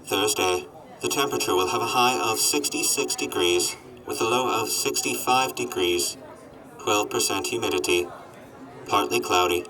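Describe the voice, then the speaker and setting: An actor voicing a gloomy, miserable male robot in a radio drama, speaking in a flat machine monotone. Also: on the low side, normal speed, recorded from a distance, low-quality recording.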